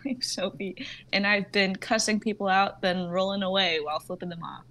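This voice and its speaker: A woman's voice: medium pitch, fairly even intonation, moderate pace, background noise. A young woman co-hosting a livestream in casual chat. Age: young adult.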